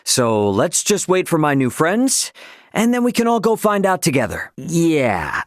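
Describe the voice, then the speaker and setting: A man's voice: fairly high pitch, wildly swooping pitch, a little slow, no echo, clean studio sound. An actor playing a brash young male starship captain in a video game, with a casual, plainspoken delivery. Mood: easygoing.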